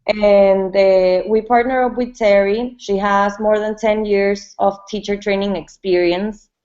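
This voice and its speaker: A woman's voice: medium pitch, fairly even intonation, unhurried, darkish tone. A woman from Venezuela who co-founded a yoga brand, answering interview questions in a casual way and often repeating and restarting her words. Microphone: webinar call mic. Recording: dull lo-fi webinar audio.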